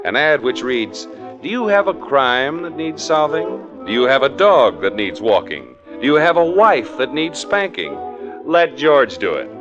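A man's voice: medium pitch, sing-song, measured pace. A man narrating as a 1940s radio announcer, with polished, dramatic scripted delivery. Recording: dull old radio recording with music.

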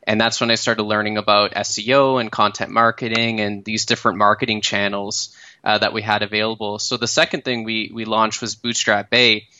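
A man in his thirties with a Canadian accent, a startup founder telling his story in a relaxed podcast interview, with many fillers and restarts. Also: fairly low pitch, fairly quick, no room sound, dull audio.

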